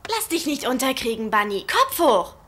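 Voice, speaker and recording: A woman's voice: high voice, very animated, moderate pace, a bit bright. A woman playing a supportive, concerned teenage schoolgirl in an anime radio play. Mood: encouraging.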